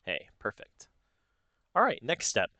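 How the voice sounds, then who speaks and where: A man narrating a casual software tutorial step by step, informally thinking aloud as he goes. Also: mid-range voice, wildly swooping pitch, measured pace, dry recording. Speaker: tutorial host.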